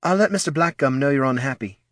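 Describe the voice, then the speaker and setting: A man's voice: medium pitch, fast, fairly bright tone, dry recording, studio quality, pitched above the performer's own voice, lightly acted. Man doing a nervous teenage girl. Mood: hesitant. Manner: hesitant, mumbling. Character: awkward, uneasy adolescent human girl.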